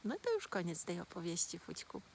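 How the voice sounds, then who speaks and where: A woman gently telling a children's fairy tale as a storyteller. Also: on the low side, huge pitch swings, fairly quick, gravelly, breathy edge, no room sound.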